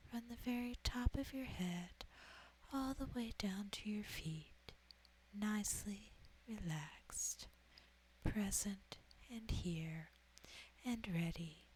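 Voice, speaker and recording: A woman guiding an ASMR meditation in a breathy, whispery, soft-spoken voice, soothing and gentle. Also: mid-range voice, a little hiss or hum, rough recording. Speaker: meditation guide, ASMR host.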